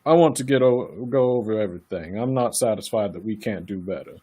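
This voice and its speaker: A man acting a self-assured county attorney in a radio play, brisk and patronising in manner. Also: fairly low pitch, animated, mellow, breathy edge. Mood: businesslike, dissatisfied.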